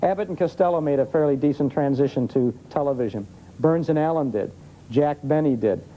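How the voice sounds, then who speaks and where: A man's voice: fairly high pitch, brisk, dark tone. An American man speaking as an expert guest in a TV interview, explaining things conversationally. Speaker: guest, old-time radio expert. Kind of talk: live TV interview. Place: television studio with audience. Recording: noisy vintage TV broadcast.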